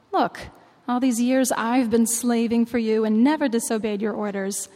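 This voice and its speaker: A woman reading a long Bible passage aloud in church, in a measured, clear way. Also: a little high, warm tone. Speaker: scripture reader. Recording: long reverb of a large hall.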